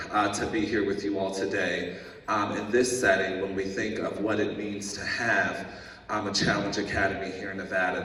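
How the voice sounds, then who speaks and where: A man giving earnest remarks as an education official at a ceremony, often pausing with um and uh. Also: on the low side, a bit bright. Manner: earnest, many ums and uhs. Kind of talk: ceremony speech. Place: large indoor hall. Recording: echoey hall from far off.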